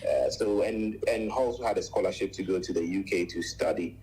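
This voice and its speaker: A man in his forties from Ghana, a radio guest telling his life story in a measured way, with many pauses and long lists. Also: deep voice, darkish tone, breathy edge, rough recording. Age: 40s. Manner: measured, frequent "uh" pauses, lists things at length.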